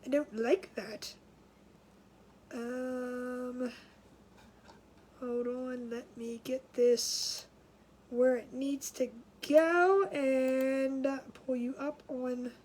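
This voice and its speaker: A woman's voice: high-pitched, steady tone, extremely slow, long pauses, a little mellow. A woman hosting a casual craft livestream from home, sounding nasal and congested, chatty and scattered, with frequent laughs and restarts.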